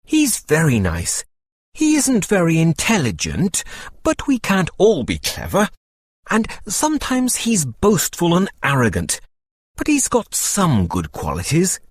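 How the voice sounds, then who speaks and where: A man voicing a sensible, kindly male water rat in a storybook reading, speaking in a measured way. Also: fairly high pitch, wildly swooping pitch, slightly rough, no room sound, studio quality, pitched above the performer's own voice, full character voice. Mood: calm. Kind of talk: audiobook character voice.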